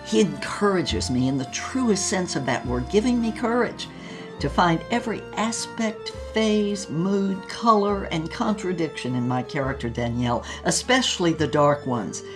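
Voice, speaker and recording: An actress playing an older, passionate former movie star, reminiscing in a dramatic, savoring way in an audio-drama memoir. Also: female voice, fairly low pitch, lively intonation, moderate pace, over music, close to an everyday voice. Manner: dramatic, savoring, swooping emphasis.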